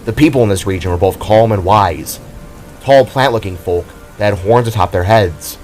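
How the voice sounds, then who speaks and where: A man's voice: fairly low pitch, moderate pace, darkish tone. A man reading a scripted fantasy story as narrator, in a dramatic storytelling manner. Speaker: narrator of an amateur audio drama. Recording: music under the voice. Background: background music.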